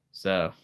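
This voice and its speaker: A man hosting a live stream in a relaxed, chatty way, with a very deep, soothing storyteller voice and frequent throat clearing from allergies. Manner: soothing, calm storyteller delivery; rambling, with frequent restarts and soft laughs. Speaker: livestream host. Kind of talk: casual live-stream chat.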